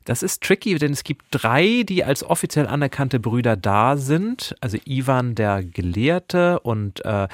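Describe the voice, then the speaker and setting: A man's voice: medium pitch, very animated, measured pace, hardly any pauses, no echo, clean studio sound. A man speaking as a podcast co-host, casually explaining things in a playful, bookish way. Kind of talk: casual book quiz and banter. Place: podcast studio.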